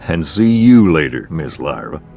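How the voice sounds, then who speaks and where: An actor playing a folksy, courteous balloon aeronaut in a video game, easygoing and amused. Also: male voice, extremely low pitch, very animated, normal speed, creaky, a little mellow, soft background music, full character voice. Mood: amused, friendly. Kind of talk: video game cutscene acting.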